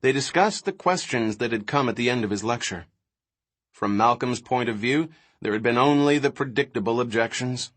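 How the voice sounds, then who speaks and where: A man reading a novel aloud as scripted narration, in a dramatic, expressive manner. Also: medium pitch, normal speed. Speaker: audiobook narrator.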